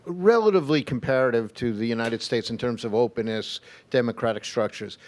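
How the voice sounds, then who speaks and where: A male rabbi on a panel poses a careful, probing question to the other speakers. His voice sits in a mid range and he speaks deliberately. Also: medium pitch, darkish tone. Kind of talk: panel discussion.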